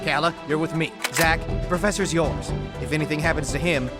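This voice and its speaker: A man's voice: a little high, steady tone, brisk, lightly acted. A man playing a brave, stern alien rebel commander in a comic-book audio drama, speaking in clipped commands. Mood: urgent. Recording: loud music under the voice.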